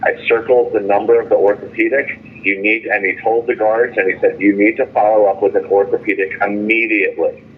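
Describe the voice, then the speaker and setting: An indignant man speaking off the cuff over the phone, halting and repeating himself as he airs grievances in an emphatic way. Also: lowish voice, fairly even intonation. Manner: halting, repetitive, with stumbling restarts; indignant, emphatic storytelling with quoted dialogue. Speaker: detainee calling in from jail. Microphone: telephone. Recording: tinny, noisy phone line.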